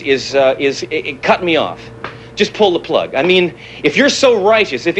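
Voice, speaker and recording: Taunting, swooping-voiced terrorist doctor. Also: male voice, a little high, a bit bright. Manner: halting, repeats himself, sarcastic and goading. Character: intense, taunting male scientist turned terrorist, doctor. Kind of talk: naturalistic TV drama acting. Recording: noisy 1980s television broadcast audio.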